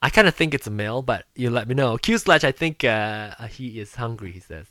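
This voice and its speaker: A man co-hosting a casual chat show, animated and teasing, with bursts of laughter. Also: mid-range voice, a little fast, no echo, clean studio sound. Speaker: podcast co-host.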